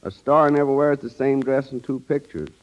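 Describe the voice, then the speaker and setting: A man delivers prepared interview answers on vintage radio. His manner is formal and measured, his pitch average, and the recording is dull and lo-fi. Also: steady tone. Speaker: studio wardrobe director, radio guest. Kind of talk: scripted 1930s radio interview. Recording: dull old 1930s radio broadcast.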